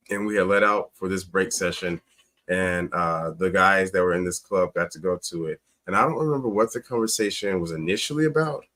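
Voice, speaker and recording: A man's voice: low voice, a bit bright. A man in an interview, telling personal stories conversationally as a guest and acting out quoted dialogue with emphasis. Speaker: talk show guest, teacher. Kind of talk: conversational storytelling on a talk show.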